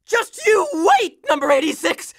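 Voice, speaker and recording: A dopey whiny cartoon supervillain, super high, kinda shouty, blustering. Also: female voice, sing-song, a little slow, no echo, studio quality, full character voice. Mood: blustering menace. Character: bumbling, whiny toilet-themed supervillain. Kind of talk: cartoon video game voice acting.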